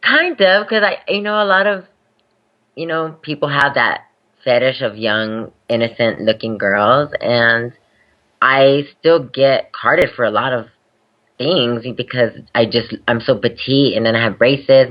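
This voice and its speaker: A young adult woman speaking candidly as an interview guest, laughing easily as she talks. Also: a bass voice. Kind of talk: casual interview chat. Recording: dull lo-fi podcast audio.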